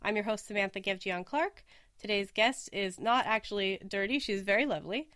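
A woman's voice: medium pitch, a bit bright, no room sound. Chatty woman in her late twenties, dry sound. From Oregon, US. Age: late 20s. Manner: chatty, with frequent likes and quick laughs. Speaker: podcast host. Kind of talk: casual conversational podcast chat.

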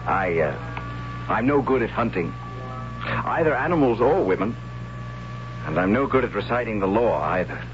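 A good-natured, hapless young man who stumbles over his words and shrugs off his own failings, as an actor plays him. His voice sits on the low side. The sound is dull and muffled, with clear music underneath, like an old radio drama broadcast. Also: animated, normal speed, pauses often, close to an everyday voice. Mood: wistful. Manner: easygoing and self-deprecating, hesitant. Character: cheerful, hapless young man, son of a high priest. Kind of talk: 1970s radio drama acting.

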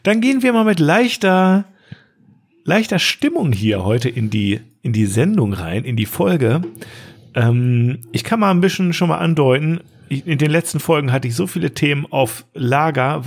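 A man's voice: on the high side, animated, moderate pace, gravelly. A man hosting a podcast in loose, colloquial, unscripted chat with a teasing touch. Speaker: podcast host.

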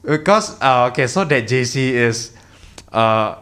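A man's voice: mid-range voice, lively intonation, a little slow, a bit bright. Hesitant young man in casual chat. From Indonesia. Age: young adult. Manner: hesitant, many restarts and fillers, lots of "yeah". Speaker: podcast guest.

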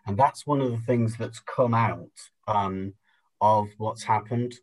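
A man speaking as a podcast guest in reflective conversation, thoughtful, measured and halting. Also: on the low side, low-quality recording.

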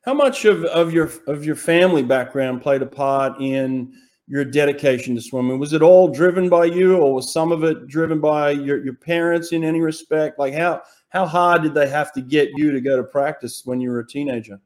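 A man's voice: fairly high pitch, normal speed, darkish tone. A man interviewing a guest in a casual, conversational way, with informal questions and short acknowledgements. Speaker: interviewer, swimming coach.

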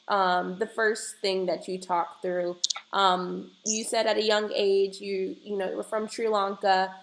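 Woman podcast host: mid-pitched, excited, chatty, lots of fillers. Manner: enthusiastic, chatty, full of fillers. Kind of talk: podcast interview.

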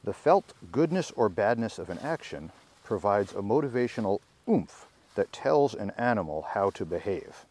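A man reading a research article aloud in a measured, explanatory way, with informal asides and the odd self-correction. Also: lowish voice, sing-song, darkish tone. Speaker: podcast reader and commentator.

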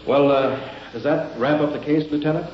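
A man's voice: on the high side, steady tone, normal speed, slight background noise. A man reporting on the scene as a police recorder, conversational and a little hesitant. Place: police office and garage. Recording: muffled, echoey old field radio recording.

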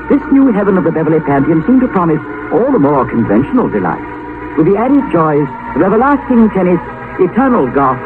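An actor playing a fussy, diffident, bookish middle-aged English scholar in a 1950s radio drama. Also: male voice, high-pitched, big pitch swings, fairly quick. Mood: wry, ornate. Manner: flustered, stammering, polite. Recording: narrow-band vintage radio broadcast with music.

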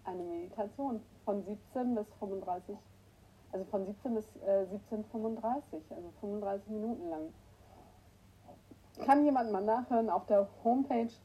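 A woman with a cold hosting a casual morning livestream, greeting her viewers by name and clearing her throat often. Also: medium pitch, normal speed, mellow, a little hiss or hum, low-quality recording. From northern Germany. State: has a cold, hoarse at times. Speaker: livestream host. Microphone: new microphone that is not working right.

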